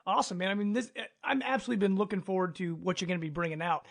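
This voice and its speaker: Male host, high register with creak, informal and enthusiastic gaming podcast chat. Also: rapid-fire.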